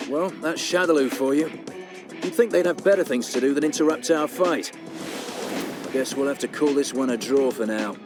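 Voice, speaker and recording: An actor playing a level-headed boxer in a dubbed game cutscene, speaking with wry, dry sarcasm. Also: male voice, mid-range voice, normal speed, natural voice. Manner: wry, reasonable. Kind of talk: English dub of video game cutscene. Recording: music behind.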